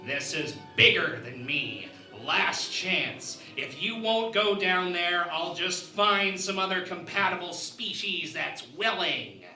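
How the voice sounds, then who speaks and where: A snooty scheming alien ambassador, piercing high voice, slow n theatrical, sci-fi parody radio play. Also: male voice, expressive, bright, forceful tone, echoey room, soft background music, heavily acted, poor audio. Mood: menacing. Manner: theatrical, deliberate, declamatory. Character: haughty, scheming alien ambassador, indignant and threatening.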